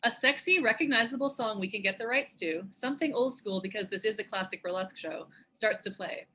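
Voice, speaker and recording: A woman narrates scripted action for a table read. Her medium-pitched voice is bright and forceful, with a husky edge. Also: a little fast. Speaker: narrator and show co-creator. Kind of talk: scripted stage-direction narration.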